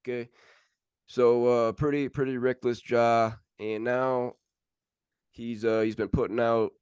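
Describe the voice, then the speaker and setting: A man co-hosting a sports podcast, relaying news in casual, informal chat with a halting delivery. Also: medium pitch, level tone, a little mellow, clean tone, no echo, highs cut off.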